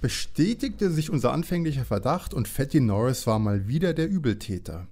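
A man's voice: mid-range voice, expressive, a little fast, darkish tone, close to an everyday voice. A man playing a good-natured, slightly naive young detective in an audio drama parody. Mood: earnest.

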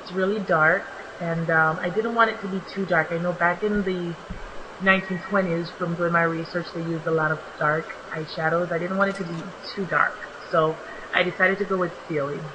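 A young woman with a slightly raspy, just-woken-up voice, narrating her makeup tutorial in a relaxed, chatty way with many ums. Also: fairly low pitch, moderate pace, noisy background. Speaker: beauty vlogger. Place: small home bathroom.

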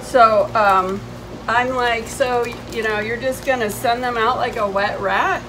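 A middle-aged woman with a fairly high voice tells a personal story in a casual, conversational vlog style. She is indignant and leans hard on her points as she recounts exchanges. The camera stands a few steps away on an outdoor porch, with clearly audible ambient noise. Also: steady tone, a little slow. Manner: indignant, emphatic, retelling exchanges. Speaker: vlogger. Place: covered porch outside a house.

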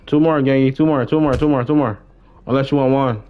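A man's voice: medium pitch, fairly even intonation, a little fast. Young American man, slangy, slightly muffled mic. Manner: slangy, repeats short phrases. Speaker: live streamer. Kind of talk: casual chat while gaming. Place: indoors, gaming livestream setup with webcam.

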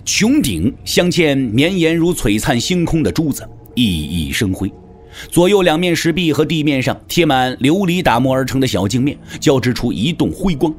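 A man giving a dramatic scripted narration of a martial arts story. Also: medium pitch.

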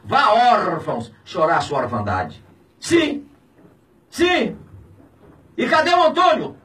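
A Brazilian pastor in his fifties rails in an indignant, preaching tone, now shouting, now dropping low. His voice sits high and swings wildly in pitch. He sounds a little off-mic in a small radio studio. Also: huge pitch swings, slow, distant mic. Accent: Brazilian Portuguese. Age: 50s. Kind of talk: impassioned radio commentary. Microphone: studio mic on a boom, filmed from a distance.

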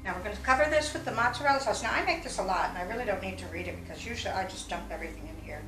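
A woman's voice: mid-range voice, expressive, very fast. Chatty older woman cooking in a roomy kitchen. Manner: chatty, self-correcting, talks while working with her hands. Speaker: home cooking vlogger. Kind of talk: casual cooking demonstration. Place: home kitchen, indoors. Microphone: far camera. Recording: roomy kitchen sound, mic at a distance, music behind.

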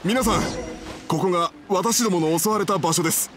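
An actor playing a polite, troubled foreign merchant in a Japanese game dub, formal, humble and apologetic. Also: male voice, high-pitched, fairly quick, noisy background, natural voice. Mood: alert.

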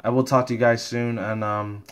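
A man's voice: mid-range voice, little pitch movement, moderate pace, clear, resonant tone. A man hosting a casual fan vlog, rambling along in slangy commentary. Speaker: YouTube host and fan comic dubber.

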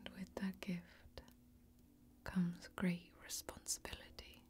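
A woman's voice: lowish voice, monotone. Whispering fantasy tavern warrior played by a woman. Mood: hushed, mysterious. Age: young adult. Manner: hushed, slow, drawn-out storytelling. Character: cryptic fantasy tavern-keeping warrior woman, wry and hospitable. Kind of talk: soft-spoken ASMR fantasy role-play. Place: small indoor set, close up. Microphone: close microphone.

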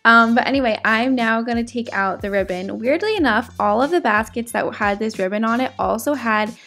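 A woman's voice: on the high side, brisk, fairly bright tone. A woman doing a casual, chatty crafting voice-over for her vlog. She is sick, and her voice cracks now and then. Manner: chatty, upbeat, fillers. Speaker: DIY vlogger. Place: home, indoors.

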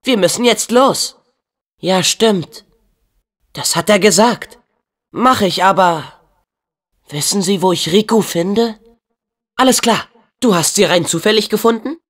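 A man's voice: sits high, unhurried, pauses often, a bit bright. A young man voicing a plucky, kind-hearted teenage boy hero in a video game dub, earnest and spirited, with casual youthful phrasing. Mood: brisk.

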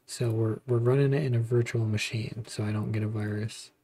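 A man hosting a casual software walkthrough, speaking in a halting, informal way. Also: lowish voice, steady tone, no room sound. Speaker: tutorial host. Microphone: close budget desktop microphone.

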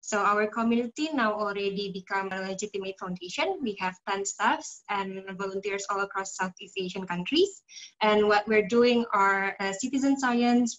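A woman in her mid-twenties with an Indonesian accent, introducing herself as a panelist on a video call. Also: mid-range voice. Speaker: panelist, foundation founder. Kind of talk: self-introduction in non-native English. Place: room, indoors. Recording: dull video-call audio.

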